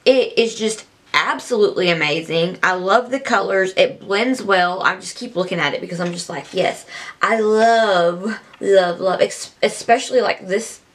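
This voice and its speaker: A woman's voice: mid-range voice, moderate pace, fairly bright tone. A young woman vlogging casually to camera from a small room, her voice scratchy from allergies, chatty and rambling. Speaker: beauty vlogger.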